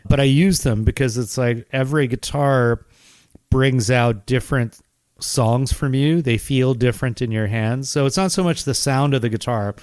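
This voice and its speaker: A man's voice: medium pitch, moderate pace, darkish tone, clean tone, no echo. Good-humored man in his fifties. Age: 50s. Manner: good-humored, rambling, laughs at himself. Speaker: guest, memory scientist. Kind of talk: casual interview chat. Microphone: video call.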